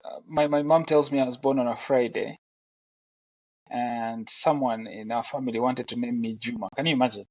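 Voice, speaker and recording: A young man from Uganda, a film director, talking casually and haltingly with many restarts on a headset during a video call. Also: medium pitch, no room sound. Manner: relaxed, halting, self-deprecating with restarts. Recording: dry, dull lo-fi call audio.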